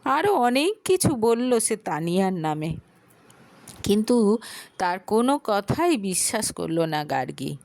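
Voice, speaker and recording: A woman narrating a scripted audio story in an expressive, dramatic way. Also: mid-range voice, slow pace, vocal fry, dry recording.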